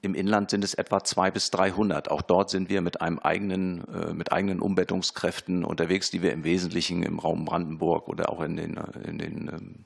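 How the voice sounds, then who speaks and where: A man with a very low, gravelly voice testifies before a committee. He speaks formally and with measured care, often pausing on fillers and restarting sentences. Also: little pitch movement. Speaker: expert witness at a parliamentary committee.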